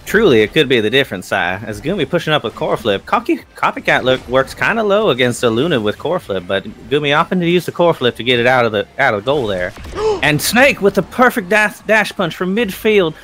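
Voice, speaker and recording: A man casting an online esports tournament, calling plays with building excitement. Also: a little high. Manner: excitable, rising into fast hype calls. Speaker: esports caster. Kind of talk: play-by-play commentary. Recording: faint game music behind.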